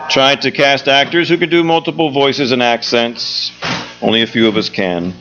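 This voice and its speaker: A man's voice: mid-range voice, steady tone, normal speed, pushed and bright. A man speaking as a theatre director and host, giving an informal, joking welcome speech to a live audience. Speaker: theatre executive director hosting a show. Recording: dull lo-fi live recording, music behind.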